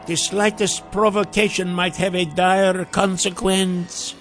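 A man voicing a grave, cautious part-elf wizard in a storybook, speaking in measured, formal warnings. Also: high-pitched, flat delivery, a bit bright, faint music behind, voice pushed up, exaggerated voice. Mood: urgent, cautioning.

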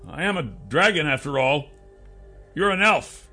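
A man's voice: a little high, very animated, measured pace, pushed and bright, soft background music, pitched above the performer's own voice, full character voice. A man voicing an earnest, stubborn young dragon hero in a fantasy audiobook, speaking insistently and defensively.

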